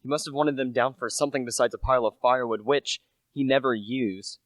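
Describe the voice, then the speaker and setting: A man's voice: medium pitch, fairly even intonation, fairly quick, a bit bright, no echo, natural voice. A young man playing a humble, earnest salesman turned amateur sleuth in a radio play, plain-spoken and a little hesitant. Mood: thoughtful. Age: young adult.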